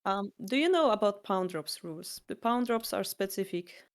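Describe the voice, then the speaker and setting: A woman's voice: mid-range voice, lively intonation, moderate pace. A woman with a Polish accent teaching a board game, patient and explanatory, with slightly non-native phrasing. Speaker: shogi teacher.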